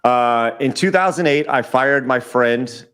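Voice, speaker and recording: A man's voice: medium pitch, unhurried, fairly bright tone, clean tone. A man in his forties, a podcast guest chatting casually over a call, often repeating words and restarting his sentences. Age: 40s. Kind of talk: casual chat. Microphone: remote call audio.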